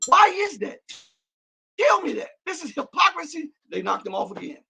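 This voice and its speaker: A man hosting a political commentary livestream, whose voice cracks and breaks up. He reads viewer comments and builds to loud, heated rhetorical questions. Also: extremely high pitch, sing-song, normal speed, vocal fry. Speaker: livestream host.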